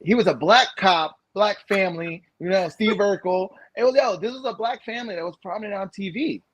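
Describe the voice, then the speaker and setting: A man in his thirties from the United States, co-hosting a casual podcast chat, animated and rambling with lots of fillers and restarts. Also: high voice, fairly quick, no room sound, lo-fi sound. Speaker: podcast co-host.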